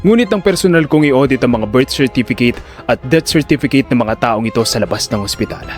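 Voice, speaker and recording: A man's voice: mid-range voice, close to an everyday voice. A man playing a composed, defiant neurosurgeon in an audio drama, sardonic and accusing. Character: cool, defiant male neurosurgeon out for revenge. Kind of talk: Filipino audio drama acting.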